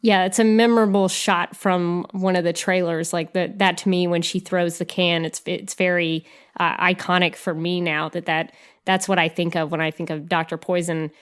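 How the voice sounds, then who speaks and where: Woman, slightly low voice, casual podcast co-host, film discussion, hesitant, thinking aloud. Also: flat delivery, normal speed, smooth.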